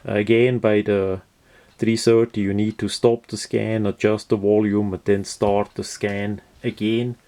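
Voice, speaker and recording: Male product reviewer, unhurried and methodical, unscripted hands-on delivery with halting phrasing. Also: lowish voice, flat delivery, mellow.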